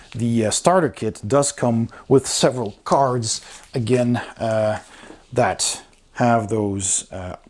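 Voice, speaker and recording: A man's voice: mid-range voice, darkish tone. A man giving a casual, unscripted review as host, with an informal, enthusiastic way of talking. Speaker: board game reviewer, host.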